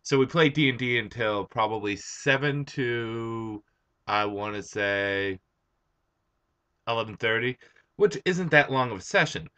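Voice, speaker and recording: A young man with a hoarse, tired voice, just out of bed, rambling through a casual comic book review. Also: medium pitch, unhurried, fairly bright tone. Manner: rambling, chatty, with frequent "uh" and restarts.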